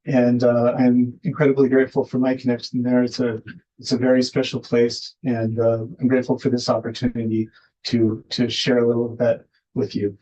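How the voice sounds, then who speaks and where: A man's voice: medium pitch, completely flat delivery, moderate pace, warm tone, highs cut off. A man telling a personal family story at a community panel, hesitant, with many fillers and restarts. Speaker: panelist, Iosepa descendant.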